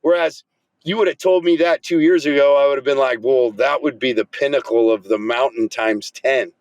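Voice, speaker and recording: A man's voice: mid-range voice, steady tone, moderate pace, a little mellow, no echo, rough recording. A man in his early forties speaking as a coach on a podcast, casual and motivational, blunt and fervent. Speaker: men's coach, podcast guest.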